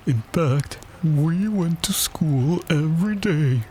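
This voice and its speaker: A man voicing a mournful, self-pitying, touchy old turtle from a storybook, with drawn-out, sighing delivery. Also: on the high side, lively intonation, warm tone, slight background noise, voice pushed up, low-quality recording. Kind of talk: storybook character voice.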